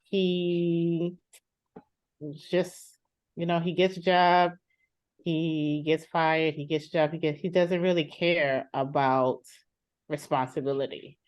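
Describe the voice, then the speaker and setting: A woman's voice: deep voice, flat delivery, takes it slowly, a little mellow, dry recording, lo-fi sound. A woman playing a sister fed up with her jobless brother in a role-played counseling session, talking in an exasperated, complaining way. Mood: frustrated.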